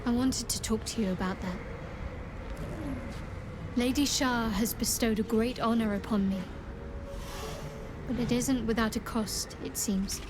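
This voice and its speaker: Game role, female actor: young fervent dark warrior woman, intense, measured delivery, slightly high voice. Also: fairly even intonation, background noise, close to an everyday voice. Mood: torn, regretful. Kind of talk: dramatic video game acting.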